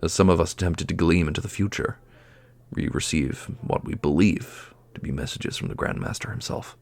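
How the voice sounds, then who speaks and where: A man acts out a grave guardian in a fantasy audio drama. His voice is deep and husky with a gravelly creak, and he speaks in formal, measured, deferential phrases. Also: darkish tone. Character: solemn male guardian of mystical obelisks, deferential and grave. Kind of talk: fantasy audio roleplay acting.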